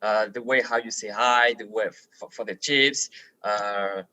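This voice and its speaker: A man's voice: lowish voice, fairly even intonation, moderate pace, pushed and bright, low-quality recording. A young man from France speaking English with a French accent, chatting animatedly as a podcast guest, swearing, laughing and repeating words. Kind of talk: casual chat.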